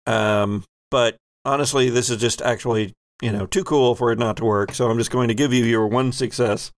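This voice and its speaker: A man running a tabletop role-playing game as game master, improvising vivid descriptive narration with thoughtful pauses. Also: medium pitch, fairly even intonation.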